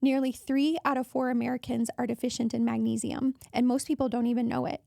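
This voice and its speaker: A woman hosting a wellness podcast, her voice hoarse and raspy from allergies, talking in a chatty way that wanders off on tangents. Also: fairly high pitch, a little mellow, no room sound, clean studio sound. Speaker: podcast host.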